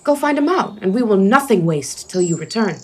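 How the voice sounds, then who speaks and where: A woman's voice: mid-range voice, big pitch swings, brisk, darkish tone, a little hiss or hum, close to an everyday voice. A woman playing a noble, commanding duke in a Shakespearean radio play. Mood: gracious.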